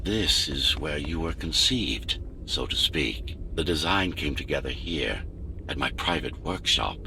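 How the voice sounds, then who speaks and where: Deep-voiced, scheming machine mastermind. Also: male voice, expressive, creaky, bright, forceful tone, slight background noise, exaggerated voice. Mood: cold, condescending. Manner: measured, condescending, commanding. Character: cold, scheming male AI creator, domineering and arrogant. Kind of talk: video game voice acting.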